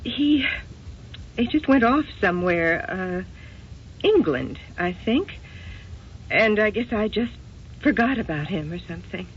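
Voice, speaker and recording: An American woman playing a wounded, evasive wife haunted by lost love, speaking in an emotional, halting way in an old-time radio drama. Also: mid-range voice, expressive, natural voice. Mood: evasive, flustered. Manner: emotional, halting, old-fashioned genteel exclamations. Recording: dull, noisy old radio recording.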